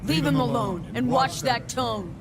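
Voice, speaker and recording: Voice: mid-range voice, measured pace, a crowd in the background, close to an everyday voice. An actor playing a tough, streetwise mercenary in a video game, blunt and slangy yet a kindly mentor. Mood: confrontational. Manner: slangy, blunt, laid-back.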